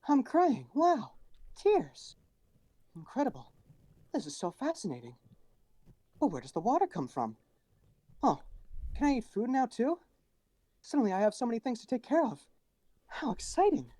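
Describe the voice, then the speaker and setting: A woman performs an innocent robot boy from an anime comic dub. The voice leaps up and down with amazement over a mellow tone. It is recorded dry and close. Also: fairly high pitch, wildly swooping pitch, normal speed, long pauses, no room sound. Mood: amazed, excited. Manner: wide-eyed, exclamatory wonder. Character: naive, excitable robot boy in a body swap.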